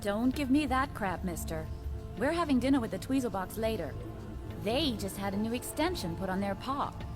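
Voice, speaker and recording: An actress playing a bossy, exasperated partner in a video game cutscene, insistent and scolding. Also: female voice, a little high, animated, normal speed, music in the background, lightly acted. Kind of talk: acted sci-fi game cutscene dialogue.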